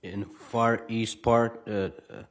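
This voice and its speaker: A man's voice: on the low side, little pitch movement, takes it slowly. A man in his twenties with a Ukrainian accent, giving brief, hesitant testimony into a courtroom microphone. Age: 20s. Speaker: defendant testifying as witness. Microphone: witness stand microphone.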